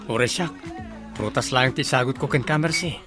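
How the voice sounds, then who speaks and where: A man's voice: mid-range voice, normal speed, close to an everyday voice. A young village suitor from a radio comedy play, acted by a man. He speaks plainly in a mid-range voice with an Ilocano accent. Faint music runs underneath. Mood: casual.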